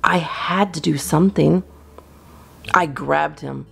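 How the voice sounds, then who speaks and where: A woman's voice: deep voice, takes it slowly, creaky, fairly bright tone, breathy edge. A woman reading a children's storybook aloud expressively, as a storytime reader. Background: guitar music.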